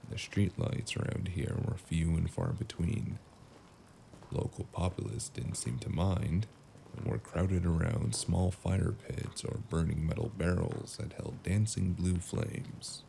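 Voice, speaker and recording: A man playing a weary, wry stranger in a cyberpunk noir audio drama, speaking in a dry, deadpan manner. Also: sits low, a little slow, vocal fry, roomy sound, a little hiss or hum, full character voice. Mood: brooding narration. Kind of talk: cyberpunk noir narration and dialogue.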